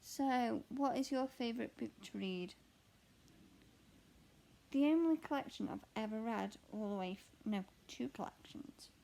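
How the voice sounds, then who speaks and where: Young woman, croaky, gravelly, slow, rambling casual chat. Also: mid-range voice, darkish tone, slight background noise, poor audio. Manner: chatty, rambling, candid. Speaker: cross stitch vlogger and mother. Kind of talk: casual chat answering a question tag while stitching. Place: at home.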